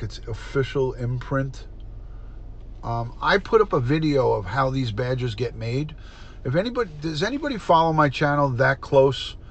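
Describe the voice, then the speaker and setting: Congested middle-aged man chatting with viewers. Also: mid-range voice. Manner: chatty, greets and answers chat viewers by name. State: congested, stuffed-up nose, sniffling. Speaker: retired police detective, livestream host. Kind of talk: casual livestream chat with viewers.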